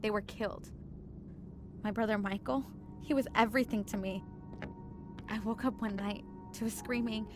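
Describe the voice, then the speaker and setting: A woman's voice: mid-range voice, steady tone, normal speed, long pauses, music in the background, lightly acted. An actress playing a persistent, grieving woman agent in an audio drama, earnest and blunt. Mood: pained, earnest.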